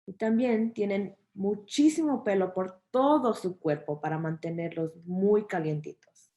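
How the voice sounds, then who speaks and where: A woman's voice: medium pitch, moderate pace, dark tone. A woman interpreting a children's program, relaying instructions and fun facts to families and kids.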